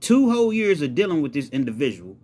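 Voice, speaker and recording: A man's voice: mid-range voice, animated, fast talker, darkish tone, husky. A man playing a hot-tempered, wounded man who got catfished, protesting in repetitive, indignant street talk. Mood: hurt, angry.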